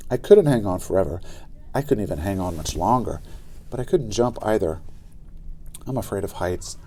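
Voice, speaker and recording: Animated man reading a children's book, very mellow. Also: low-pitched, normal speed. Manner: animated, dramatic delivery. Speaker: read-aloud storyteller. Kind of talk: expressive children's book narration.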